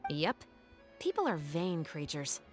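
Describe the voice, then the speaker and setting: A woman voicing an easygoing young patrol officer in a video game, relaxed and wry, with a sly mock-formal manner. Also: low voice, unhurried, faint music behind. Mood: wry, knowing. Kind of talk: video game character acting.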